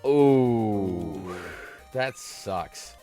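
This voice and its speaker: A man's voice: fairly low pitch, animated, extremely slow, dark tone. Casual man hosting, music playing behind him. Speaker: podcast and stream host. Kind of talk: casual live call-in chat while gaming.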